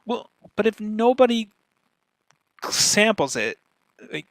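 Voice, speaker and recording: A man's voice: high-pitched, very animated, slow, no echo. A man speaking as a guest expert, explaining things casually and emphatically, often checking in with the listener. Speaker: guest speaker, podcast marketer.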